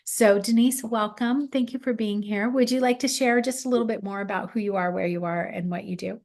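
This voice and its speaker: A woman's voice: mid-range voice, fairly even intonation, a little fast, a little mellow. Woman, podcast host, reflective, wordplay, affirming, conversational interview. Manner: reflective, plays with words, many affirming fillers.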